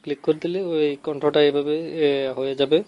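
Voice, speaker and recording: A man with a Bangladeshi accent giving an informal app tutorial, explaining step by step in a halting, repetitive way. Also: on the high side, little pitch movement, highs cut off. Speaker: tutorial host.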